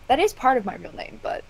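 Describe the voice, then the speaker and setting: A woman's voice: high voice, gravelly, darkish tone, poor audio. Young woman, Southern US accent, chatty, giggly, faint noise floor. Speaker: podcast guest. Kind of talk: casual chat.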